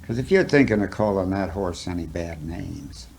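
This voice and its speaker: A man's voice: sits low, lively intonation, gravelly, a little mellow, slight background noise. A man reciting a cowboy poem as a dramatic story, in a folksy, rustic manner. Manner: folksy, drawn-out phrasing with rustic dialect.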